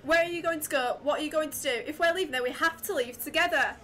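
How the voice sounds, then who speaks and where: A woman's voice: high voice, quick pace, a bit bright, a little hiss or hum. A woman playing a feisty, outspoken survivor in a radio drama, urgent and combative. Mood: indignant.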